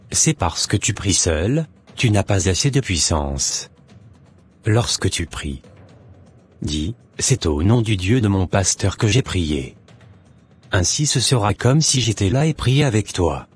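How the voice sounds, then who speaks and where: A man voicing a deceitful, persuasive false pastor who serves a dark master in a cartoon. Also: on the low side, big pitch swings, slow, breathy edge, soft background music, heavily acted. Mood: falsely reassuring. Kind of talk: dramatic animated dialogue.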